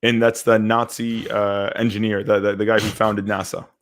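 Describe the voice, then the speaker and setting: A man's voice: lowish voice, little pitch movement, moderate pace. A man in his twenties from Syria and Canada, chatting casually and hesitantly as a podcast co-host, often repeating words as he goes. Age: 20s. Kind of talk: casual live discussion.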